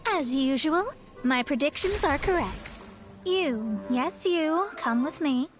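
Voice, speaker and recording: A woman's voice: high voice, expressive, takes it slowly, music in the background. A woman playing a proud young astrologist in a video game, speaking in a formal, grandiose and theatrical way. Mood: haughty, knowing. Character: proud, self-assured young female astrologist. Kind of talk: English video game voice acting.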